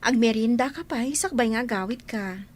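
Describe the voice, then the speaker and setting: A woman's voice: a little high, moderate pace, lightly acted. A woman acting a shy, deferential young housemaid in an Ilocano radio drama, hesitant, with an Ilocano accent. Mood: polite.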